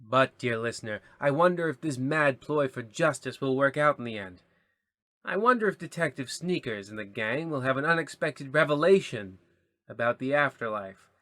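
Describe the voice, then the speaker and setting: A man's voice: mid-range voice, lively intonation, moderate pace, bright, forceful tone, no room sound, studio quality. An actor playing an arch, teasing announcer who narrates to his listeners in old-time radio style. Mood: arch, suspenseful.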